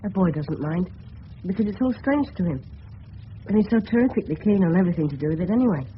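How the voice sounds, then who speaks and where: Earnest English schoolboy, muffled old recording. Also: male voice, sits high, lively intonation, fast talker. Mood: tender, earnest. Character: earnest, polite teenage schoolboy of 1930s England. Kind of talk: 1970s radio drama acting. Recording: muffled, noisy old radio broadcast.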